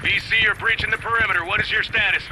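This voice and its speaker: An actor playing a disciplined, urgent military radio operator in a war video game, speaking in clipped procedure. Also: male voice, high-pitched, steady tone, quick pace, sharp tone, a little hiss or hum, rough recording. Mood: urgent, controlled.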